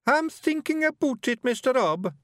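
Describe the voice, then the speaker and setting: A man's voice: very high-pitched, lively intonation, normal speed, fairly bright tone, no echo, studio quality, pitched above the performer's own voice. A man voicing a young, nervous male pictsie apprentice bard with a Scottish accent, earnest and anxious.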